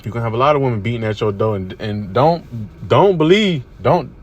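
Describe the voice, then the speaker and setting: Man, casual commentary, laid-back, lots of fillers, mid-pitched voice. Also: expressive, normal speed, highs cut off. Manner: laid-back, repetitive with "you know what I'm saying". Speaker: commentator on clips.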